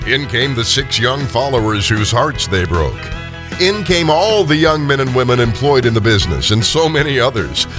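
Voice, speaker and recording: An older man narrating a scripted story dramatically, with expressive, deliberate phrasing. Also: mid-range voice, over music.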